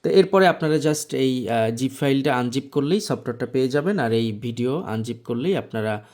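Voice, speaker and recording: A man with a Bangladeshi accent presenting an informal software tutorial, explaining things step by step. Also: mid-range voice, lively intonation, moderate pace. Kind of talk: informal screen tutorial narration.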